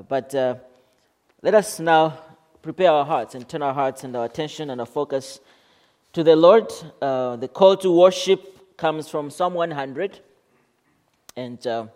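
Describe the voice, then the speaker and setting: A man from Malawi stands before a congregation as guest pastor, introducing himself and leading the call to worship. He speaks earnestly, in a mid-range voice, pausing and restarting as he goes. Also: medium pitch. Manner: warm, earnest, halting with fillers. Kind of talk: church service leading.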